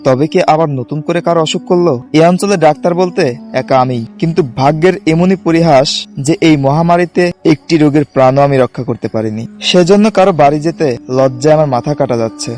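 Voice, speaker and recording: Guy playing a worried village doctor telling a ghost story, slightly high voice, bit of music behind. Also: fast talker, a little mellow, lightly acted. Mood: grave, suspenseful. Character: troubled village doctor recounting a ghostly night. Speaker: narrator. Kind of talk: first-person scripted horror story narration.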